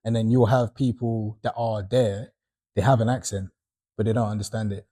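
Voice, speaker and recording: A man speaks in a low, mellow voice. He talks earnestly and reflectively in a relaxed group conversation, dropping in the odd "innit". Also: moderate pace. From Britain. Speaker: panel guest. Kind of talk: casual discussion.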